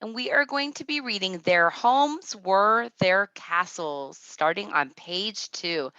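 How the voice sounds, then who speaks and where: A woman teacher reading aloud to kindergartners, speaking deliberately and instructively, with asides addressed directly to the children. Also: medium pitch, bright, forceful tone, no room sound, muffled recording.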